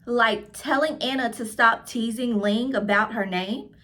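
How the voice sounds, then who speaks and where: A woman's voice: fairly high pitch, a little slow, fairly bright tone. A woman reading the part of a curious, earnest young schoolgirl in a storybook. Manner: earnest, questioning.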